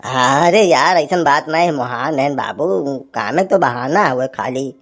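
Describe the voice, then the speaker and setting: A woman's voice: rumbling low, animated, a little slow, heavily acted. A woman voicing a harried village husband in a cartoon comedy, rambling and grumbling with an Awadhi accent. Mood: pleading, defensive.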